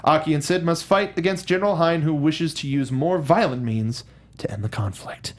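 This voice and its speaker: A man with a slightly high voice co-hosts a film review show. He talks casually and in an animated manner, tossing in mock outbursts as he discusses movies. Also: moderate pace.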